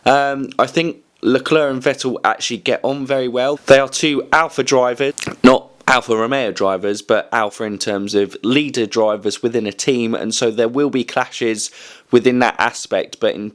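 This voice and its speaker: A man hosting a weekly motorsport news show, croaky from a cold, rambling casually with lots of ums and restarts. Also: mid-range voice, fairly even intonation, moderate pace. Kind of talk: casual news commentary to camera.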